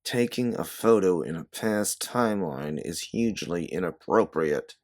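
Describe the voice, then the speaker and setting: A man's voice: low-pitched, lively intonation, measured pace, a little mellow. A man voicing a young woman in a story, anxious, guarded and determined, speaking in careful, earnest phrases. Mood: angry. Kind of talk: storybook character dialogue.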